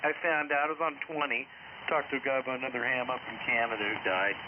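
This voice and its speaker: A man's voice: mid-range voice, steady tone, fast talker. A middle-aged man chatting casually as an amateur radio operator, breezy, in short choppy sentences, heard over the radio. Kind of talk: casual ham radio chat. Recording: dull, noisy ham radio transmission.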